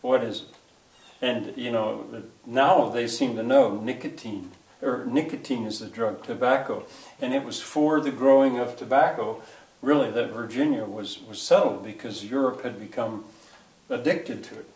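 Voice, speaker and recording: A man's voice: mid-range voice, darkish tone, far from the mic. Older man lecturing in an echoey room. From United States. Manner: halting, full of fillers and restarts. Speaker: teacher. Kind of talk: lecture to a class.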